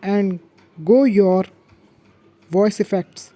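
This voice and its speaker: A man's voice: sits high, drawn-out words, warm tone, dry recording. A man hosting a tech tutorial and walking through phone app steps in simple, broken English with repeated prompts.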